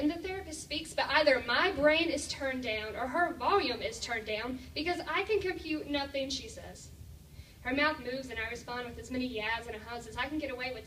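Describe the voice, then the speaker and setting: A teenage young woman delivering dramatic spoken-word poetry in an emphatic, deliberate, theatrical way. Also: high-pitched. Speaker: slam poet. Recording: distant, echoey room with faint noise.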